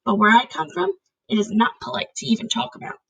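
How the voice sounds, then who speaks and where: Woman doing a storybook girl, polite, patient, inquisitive, fairly high, husky. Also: fast, a bit bright, dull audio, heavily acted. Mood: polite explaining. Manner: polite, questioning.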